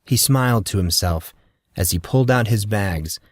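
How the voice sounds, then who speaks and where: A man's voice: fairly low pitch, moderate pace, no room sound, clean studio sound. A man narrating scripted fiction as an audiobook storyteller.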